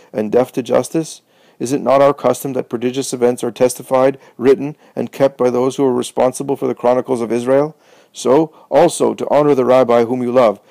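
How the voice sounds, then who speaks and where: A man voicing a respected old elder who pleads with a crowd in measured, persuasive oratory full of rhetorical questions. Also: medium pitch, creaky, warm tone, no room sound, lightly acted.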